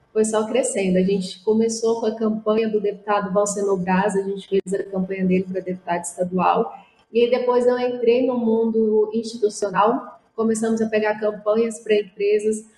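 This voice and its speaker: A woman's voice: mid-range voice, a little mellow. A young woman, a former TV reporter, answering interview questions in a reflective, conversational way. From Luziânia, Goiás, Brazil. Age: young adult. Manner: reflective, with frequent "e aí" and "assim". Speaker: guest, former TV reporter, communications business owner. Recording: echoey room.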